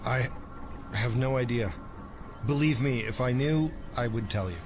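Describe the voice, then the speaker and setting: A man's voice: medium pitch, a little slow, over music, natural voice. A man playing a confused, exasperated captive ex-agent defending himself in an audio drama. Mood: bewildered, defensive. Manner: insistent, exasperated.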